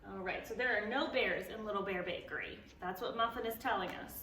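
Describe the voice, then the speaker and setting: A woman reading a picture book aloud to preschoolers at a library storytime, animated and playful, stopping to ask the children questions. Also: medium pitch, fairly quick. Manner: playful, gasps and exclaims at the story. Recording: echoey room, voice a bit far from the mic.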